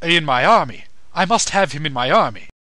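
A man's voice: on the high side, animated, fairly quick, sharp tone, no echo. Man putting on a suave, effete, flirtatious commander. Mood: smitten. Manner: suave, lingering, suggestive. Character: effete, smitten male army commander, smoothly flirtatious. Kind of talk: comedic anime parody dub.

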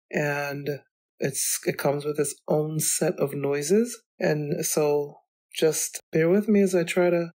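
A woman hosting her own podcast, talking alone in a chatty, rambling way, her voice still slightly hoarse from illness. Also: low-pitched, warm tone. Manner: chatty, rambling, goes off on tangents. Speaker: podcast host. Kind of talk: solo podcast monologue. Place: home.

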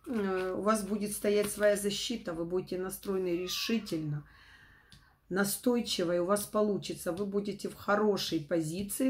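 A middle-aged woman with a not fully recovered voice, giving an informal tarot forecast to camera in a halting, rephrasing way. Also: fairly low pitch, slight background noise. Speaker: tarot reader, host. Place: small room at home, indoors.

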